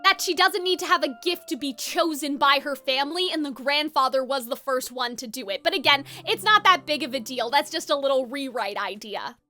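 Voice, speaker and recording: Woman, very high bright voice, video essay host, conversational, light music behind. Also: fairly quick, few pauses. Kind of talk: opinionated video essay commentary. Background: background music.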